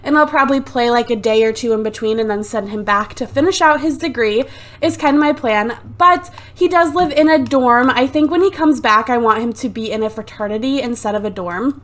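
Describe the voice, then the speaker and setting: A woman's voice: fairly high pitch, fairly quick. A woman giving casual, rambling commentary over a life-sim game on a desktop USB mic. She is sick with a sore throat, sounds a little phlegmy, and fills her talk with "like" and "um". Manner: chatty and rambling, lots of "like" and "um". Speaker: let's play host. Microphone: desktop USB microphone.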